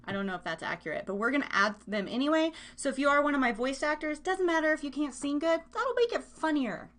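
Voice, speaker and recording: A woman's voice: high voice, animated, fast, a bit bright. A woman hosting an internet radio podcast, chatting casually to listeners in a rambling, self-deprecating way. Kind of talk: casual live chat to listeners.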